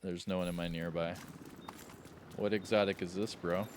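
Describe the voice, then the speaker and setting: A man giving casual, joking gameplay commentary with a slightly raspy voice, relaxed but breaking into excited outbursts. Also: low-pitched, normal speed, background noise.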